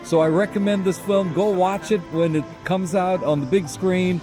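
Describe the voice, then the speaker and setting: A man's voice: sits high, fairly even intonation, normal speed, dark tone, soft background music. A man who works as a church missions director, giving a film testimonial.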